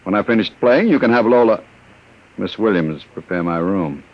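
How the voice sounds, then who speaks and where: An actor playing a smooth, menacing gangster who talks in a cold, mocking way in a 1950s radio drama. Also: male voice, lowish voice, lively intonation, moderate pace. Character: smooth menacing male gangster, middle-aged. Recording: muffled old radio broadcast.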